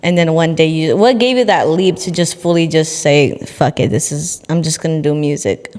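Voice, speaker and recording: A woman's voice: low voice, normal speed, darkish tone, big-room sound. A young woman hosting a radio interview, upbeat and friendly with casual slang. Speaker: radio host. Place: radio studio.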